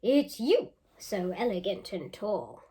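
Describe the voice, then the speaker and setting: A truth-telling magic mirror from a storybook, read by a woman. It declares its rhymes bluntly and at an unhurried, very slow pace. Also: mid-range voice, very animated, warm tone. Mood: flattering.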